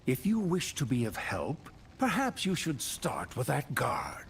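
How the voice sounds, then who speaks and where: A man's voice: mid-range voice, wildly swooping pitch, a little slow, a little hiss or hum. A man playing a stern, righteous old necromancer master in a video game, formal, solemn and commanding. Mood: weary, wry. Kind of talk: dramatic video game voice acting.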